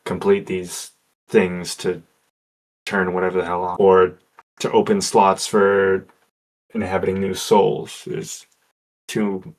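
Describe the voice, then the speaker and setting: Low-voiced man at a game table. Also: flat delivery, takes it slowly, pauses often. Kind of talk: casual in-character roleplay.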